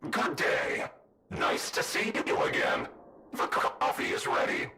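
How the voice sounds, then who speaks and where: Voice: measured pace, bright, forceful tone, slight background noise. An actor voicing a glitching robot in a cartoon adventure game, with a metallic filter and polite, stilted, stuttering announcements. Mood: mechanical, polite. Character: glitching robot greeting workers and giving duty orders.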